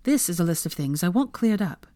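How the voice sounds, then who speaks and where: Woman playing a shrewd, resolute young woman, lively pitch. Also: brisk, no echo, studio quality, close to an everyday voice. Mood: cool, firm.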